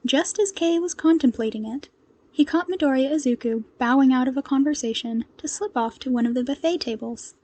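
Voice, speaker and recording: A woman's voice: sits high, a little fast, mellow. A woman reading scripted fiction as the narrator of an audio story. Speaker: podfic narrator.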